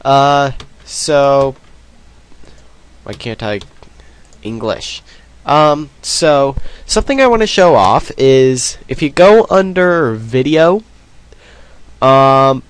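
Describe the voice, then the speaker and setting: A man's voice: medium pitch, very animated, slow pace, long pauses, clear, resonant tone. A man with a cold, sniffling as he rambles through casual let's play commentary. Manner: rambling, self-deprecating, many ums.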